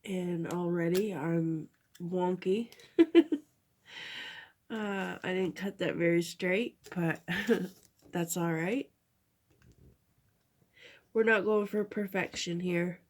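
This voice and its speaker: A woman with a stuffy nose from a cold, chatting through a craft tutorial at her table, halting often and correcting herself. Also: lowish voice, steady tone, a little mellow. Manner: halting, chatty, many fillers and self-corrections. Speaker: crafter demonstrating a project.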